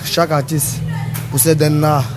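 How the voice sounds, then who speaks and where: A man with a slightly high voice, a dancer, answers interview questions plainly and modestly. Loud music pounds in the background of the recording. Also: little pitch movement, unhurried. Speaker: dancer interviewed after a show. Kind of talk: casual interview at a concert venue.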